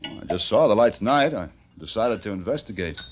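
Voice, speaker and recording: Actor as deep-voiced haunted young American survivor. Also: male voice, lively intonation, a little fast, dull audio, a little hiss or hum, natural voice. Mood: guarded, casual. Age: young adult. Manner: intense, theatrical, brooding. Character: young educated male survivor of a plague, haunted, watchful. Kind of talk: dramatic first-person narration in old-time radio acting. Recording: vintage radio recording with music behind.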